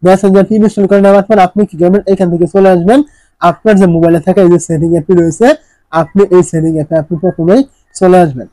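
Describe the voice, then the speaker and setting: A man's voice: high-pitched, fairly even intonation, fast, warm tone, no room sound, low-quality recording. A man narrating a step-by-step phone tutorial, instructing repetitively and often posing questions before answering them. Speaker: tech tutorial presenter.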